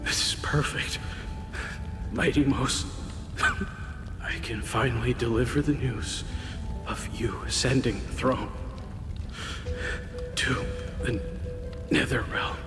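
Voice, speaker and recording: An actor playing a loyal dying soldier-messenger in a game, with exalted, declamatory delivery. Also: male voice, mid-range voice, slow pace, pauses often. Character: fallen male messenger soldier, loyal, triumphant in death. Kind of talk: dramatic game voice acting. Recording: long reverb, music behind.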